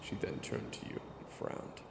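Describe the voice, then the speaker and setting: A man giving scripted, dramatic narration for an audio roleplay story. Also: normal speed. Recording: echoey reverb, music behind.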